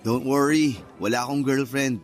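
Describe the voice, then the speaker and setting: A man's voice: medium pitch, fairly even intonation, moderate pace, natural voice. A man playing an easygoing, courteous young bachelor talking with his old girlfriend in a radio drama. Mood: candid. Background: music.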